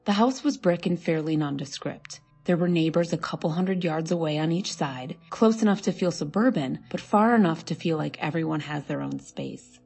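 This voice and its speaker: Low, creaky female horror narrator. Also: moderate pace, hardly any pauses, a little mellow, faint music behind. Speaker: storyteller. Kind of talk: scripted first-person horror narration.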